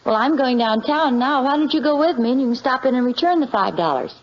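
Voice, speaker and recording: Dry-humored American housewife, old radio. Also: female voice, sits high, fast talker. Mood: calm, practical. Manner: dry, calm, gently teasing. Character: patient, practical housewife, gently wry with her husband. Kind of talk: 1950s radio situation comedy acting. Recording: muffled, noisy vintage radio recording.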